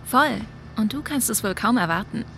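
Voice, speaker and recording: A woman's voice: a little high, lively intonation, moderate pace, creaky, pushed and bright, a little hiss or hum, exaggerated voice. A woman playing a wry, friendly young woman in a video game, with a dry, casual way of talking. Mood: playful. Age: young adult. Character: wry, friendly young woman, long separated from her brother. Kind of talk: naturalistic video game dialogue and inner monologue.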